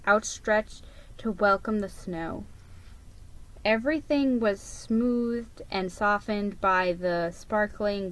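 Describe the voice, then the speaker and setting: A woman reading a children's story aloud as a dramatic reading, with expressive, emphatic storytelling. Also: medium pitch, low-quality recording. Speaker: storybook reader.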